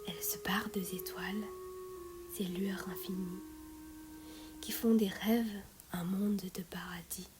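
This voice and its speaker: A woman reciting a meditative poem in a soft, breathy, often whispery voice, measured, with long pauses between phrases. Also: medium pitch, steady tone. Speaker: poet reciting own poem. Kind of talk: slow meditative poetry recitation. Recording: music and singing bowls behind voice. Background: meditative music with singing bowls.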